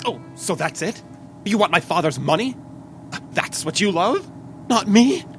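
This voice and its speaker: A man's voice: high-pitched, animated, unhurried, fairly bright tone, soft background music, exaggerated voice. An actor playing an unstable, lovesick young man who stammers and frets in old-time radio mystery style. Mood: menacing, unhinged. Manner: stammering, pleading, overwrought.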